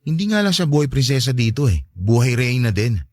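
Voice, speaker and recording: A man's voice: mid-range voice, big pitch swings, fairly quick, natural voice. A man playing a meek, accommodating, homely stepfather in a radio-style drama, polite, placating and defensive. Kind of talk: Tagalog radio-style love story drama.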